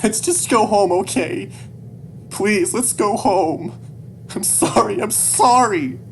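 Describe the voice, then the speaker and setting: A man voicing a distraught young male furry animal, pleading desperately in a shrill, strained voice in a dramatic story. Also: slow pace, warm tone, a little hiss or hum, close to an everyday voice. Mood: frantic pleading.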